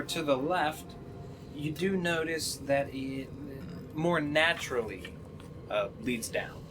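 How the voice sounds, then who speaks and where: A man narrating a tabletop game as game master, describing scenes in a halting, improvised way. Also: mid-range voice. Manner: halting, pieces descriptions together as he goes. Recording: clearly noisy room sound.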